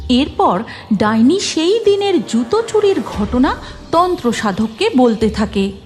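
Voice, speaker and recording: High-pitched woman narrating a cartoon. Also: sing-song, measured pace, faint music behind. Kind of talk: scripted cartoon story narration.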